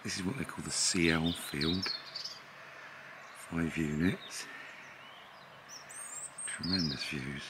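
A man in his fifties with a very low voice gives a relaxed, chatty walk-around of a campsite, with plenty of "uh"s as he goes. He films himself outdoors at arm's length on a handheld camera. Birdsong and rural outdoor noise come through clearly behind him. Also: slow, pauses often. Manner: relaxed, chatty, with frequent fillers. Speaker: vlogger touring a campsite. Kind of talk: casual walk-around commentary. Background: birdsong and rural outdoor ambience.

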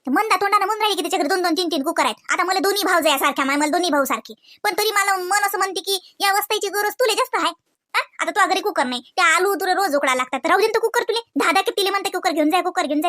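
Casting: an actor as a fussy, chatty cartoon village woman, rural Varhadi Marathi accent, rapid bright delivery, nagging colloquial manner. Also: very high-pitched, heavily acted. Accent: rural Varhadi Marathi, Vidarbha. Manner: colloquial, nagging, repeats questioning tags. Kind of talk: comedy cartoon dialogue in rural household chat.

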